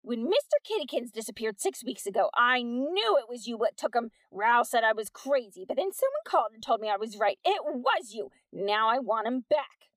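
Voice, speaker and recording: A woman voicing a loud, indignant woman neighbor who accuses someone of taking her cat. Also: sing-song, moderate pace, hardly any pauses, dry recording. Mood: accusing. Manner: shrill, accusing, whiny.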